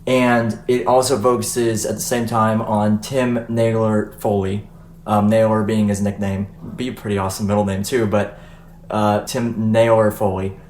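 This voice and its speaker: Chatty young man, low voice, echoey room. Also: flat delivery, normal speed, darkish tone. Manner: loose, chatty, many fillers and restarts. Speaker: podcast host. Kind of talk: casual podcast chat. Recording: echoey room, faint noise.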